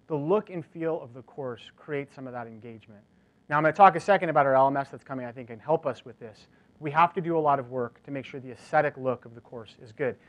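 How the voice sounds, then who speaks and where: A man in his forties giving a casual, energetic conference keynote, chatty and full of "like" fillers, in a large lecture hall. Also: medium pitch, fast talker, highs cut off. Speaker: keynote speaker. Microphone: lapel mic.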